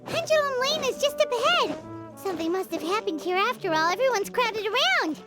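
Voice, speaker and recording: A woman voicing a tiny, excitable childlike fairy companion in a video game, with a squeaky, eager delivery. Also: a little fast. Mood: alert. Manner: eager, exclamatory. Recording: prominent background music.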